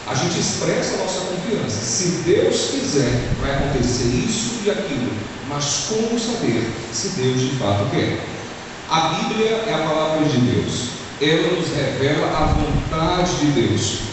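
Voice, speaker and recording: Brazilian pastor w/ alagoas accent, earnest, addresses the church, big echo, mic far off. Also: male voice, on the high side, noisy background. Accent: Brazilian Portuguese, Alagoas. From Brazil, Maceió. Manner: earnest, addresses the congregation directly. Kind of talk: sermon. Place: church sanctuary.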